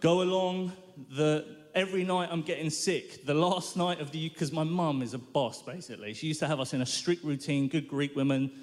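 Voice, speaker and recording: Man giving a lively stage testimony. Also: a little high, moderate pace. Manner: animated storytelling, self-mocking humour. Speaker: guest speaker. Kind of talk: personal testimony sermon. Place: large hall or tent at a live worship event. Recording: live hall reverb.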